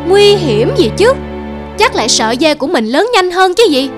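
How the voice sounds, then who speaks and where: A woman's voice: very high voice, big pitch swings, moderate pace. A woman voicing an envious, stubborn male goatherd in a dramatic cartoon dub, given to exclaiming and scheming to himself. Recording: music behind the voice.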